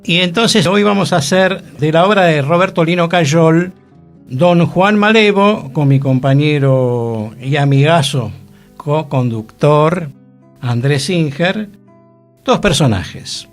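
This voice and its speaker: A man's voice: a little high, animated, slow pace, soft background music. An older man with a Rioplatense accent hosting a radio show, explaining theater history with frequent tag questions. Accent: Rioplatense Spanish. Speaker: radio host.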